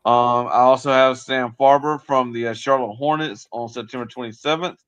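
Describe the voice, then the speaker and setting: A man's voice: mid-range voice, fairly even intonation, normal speed, fairly bright tone, clean tone, dull audio. A man co-hosting a sports livestream, chatting casually in a rambling, hesitant way. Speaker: sports show co-host and interviewer. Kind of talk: casual, unscripted livestream chat.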